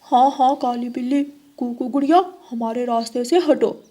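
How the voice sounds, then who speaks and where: A woman's voice: high voice, normal speed, mellow. A woman voicing a trusting, stubborn young boy crow in a children's cartoon, talking eagerly. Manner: eager, cheerful.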